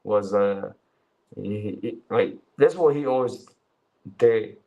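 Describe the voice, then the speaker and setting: A man's voice: lowish voice, slow, gravelly, dull audio. A Chinese man in his twenties whose first language is Chinese, chatting loosely on a livestream, swearing and calling listeners bro. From China. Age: 20s. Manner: mocking impressions in a raised voice. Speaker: livestream commentator. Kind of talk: casual livestream chat.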